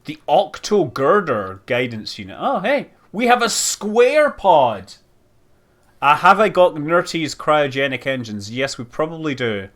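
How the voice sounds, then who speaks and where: Slow-paced man muttering with swooping pitch. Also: big pitch swings, fairly bright tone. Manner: rambling, muttering to himself, exclaiming over details. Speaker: streamer playing a space building game. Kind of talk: casual live stream commentary, thinking aloud.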